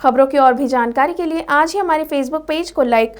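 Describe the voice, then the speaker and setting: A woman's voice: high-pitched, normal speed. A woman presenting scripted news as the anchor of a bulletin.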